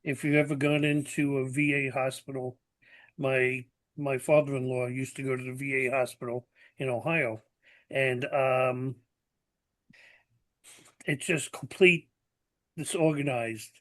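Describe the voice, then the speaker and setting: A man's voice: medium pitch, fairly even intonation, unhurried, pauses often. A man in his seventies hosting a podcast interview, talking in an earnest, plainspoken way and leaning on fillers like "you know". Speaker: podcast host. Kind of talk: conversational interview.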